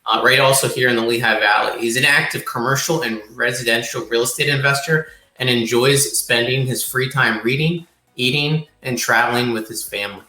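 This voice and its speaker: A man's voice: medium pitch, steady tone, brisk, few pauses, bright, forceful tone. An American man co-hosting a podcast, introducing and questioning a guest. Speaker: podcast co-host. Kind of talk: reading a guest introduction, interviewing. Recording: roomy, echoing sound.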